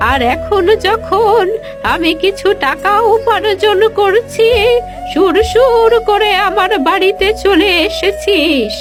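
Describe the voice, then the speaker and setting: Woman acting bitter old mother, very high-pitched. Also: full character voice. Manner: scornful, reproachful, slow emphatic delivery. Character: bitter, betrayed old village mother, proud and scolding. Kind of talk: Bengali cartoon moral-story acting.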